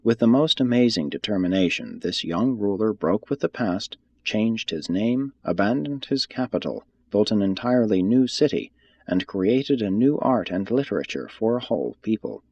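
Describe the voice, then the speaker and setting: A man reading a religious text aloud as scripted narration, in a measured, formal manner. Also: on the low side, lively intonation, darkish tone, no room sound, highs cut off. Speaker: audiobook reader.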